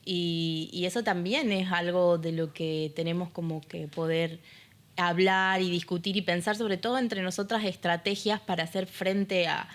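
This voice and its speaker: A woman's voice: fairly low pitch, steady tone, a little slow. A woman co-hosting a podcast conversation, talking reflectively with fillers. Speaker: podcast co-host. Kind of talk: conversational discussion.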